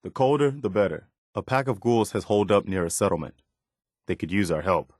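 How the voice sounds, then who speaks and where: An actor playing an earnest, dutiful militia soldier in a post-apocalyptic video game, speaking in a plain, folksy way. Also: male voice, fairly low pitch, lively intonation, fairly quick, no echo, clean studio sound. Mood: earnest, wary. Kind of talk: video game companion voice lines.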